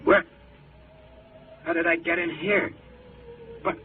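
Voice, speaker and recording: An actor playing a distraught rocket pilot, urgent and halting, in a mid-century radio drama. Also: male voice, on the high side, steady tone. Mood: entranced, alarmed. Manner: urgent, halting, breathless pleading. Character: distraught male rocket pilot, desperately pleading his warning. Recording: tinny, noisy old radio broadcast.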